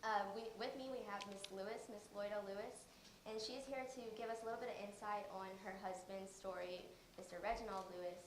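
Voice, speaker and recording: A woman's voice: medium pitch, steady tone, brisk. Young female student president, casual intro, hall echo. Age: young adult. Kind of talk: introducing a speaker. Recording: roomy hall with clear noise.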